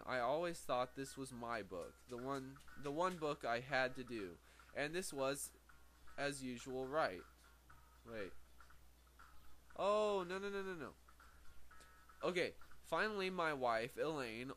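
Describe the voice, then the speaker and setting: A man's voice: medium pitch, a bit bright. A man reading a book aloud as an amateur, stumbling over words, correcting himself and slipping into off-script asides. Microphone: very sensitive microphone. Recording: faint background noise, sensitive microphone.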